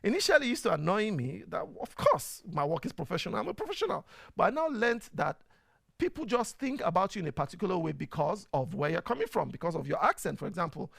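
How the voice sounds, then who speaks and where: A man's voice: high-pitched, big pitch swings, fast, gravelly, fairly bright tone, no room sound, clean studio sound. A man with a Nigerian accent, hosting a live online program and talking casually through viewers' questions with many fillers. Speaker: host. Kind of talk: casual live-stream talk.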